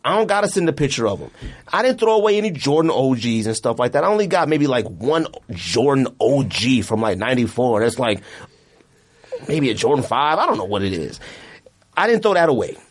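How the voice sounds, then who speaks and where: Man, late thirties, lively intonation, slangy, opinionated, emphatic, casual podcast chat. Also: medium pitch, fairly quick. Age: late 30s. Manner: animated, emphatic ranting with slangy address. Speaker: podcast host.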